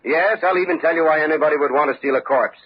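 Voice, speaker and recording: An actor playing a shrewd, unflappable police lieutenant in a radio detective drama, speaking methodically and with confidence. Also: male voice, on the high side, quick pace, husky. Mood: brisk. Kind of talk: old-time radio detective drama acting. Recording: dull vintage radio recording.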